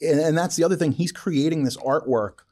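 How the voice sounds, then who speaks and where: A man's voice: on the high side, brisk, dark tone. A man hosting a casual interview, chatting as an enthusiastic fan. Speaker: interview host. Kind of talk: casual chat.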